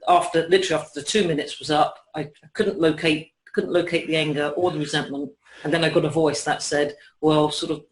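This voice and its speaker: A young man describing his pain and his experiences, talking hesitantly with many fillers and restarts. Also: a little high. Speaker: client. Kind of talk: conversational interview. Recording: echoey room.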